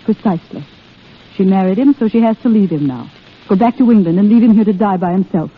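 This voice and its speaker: An actress playing an earnest, indignant young army nurse in an old radio drama, speaking in a dramatic manner. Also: female voice, medium pitch, lively intonation, fast, husky. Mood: bitter, impassioned. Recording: tinny old radio broadcast.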